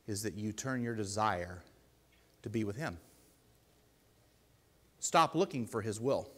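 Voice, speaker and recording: A man with a deep voice preaching a sermon in a church, earnest and pleading, with loud emphatic bursts. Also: husky. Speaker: lay preacher.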